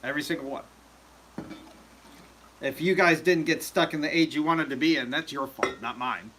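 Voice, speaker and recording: A man's voice: a little high, normal speed, pushed and bright, slight background noise, poor audio. A man hosting a casual livestream chat, rambling with lots of fillers and joking with viewers. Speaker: livestream host.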